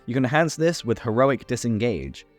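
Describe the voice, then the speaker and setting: A man's voice: medium pitch, very animated, normal speed, faint music behind. A man hosting a tabletop game tutorial, narrating a scripted explainer step by step with informal asides.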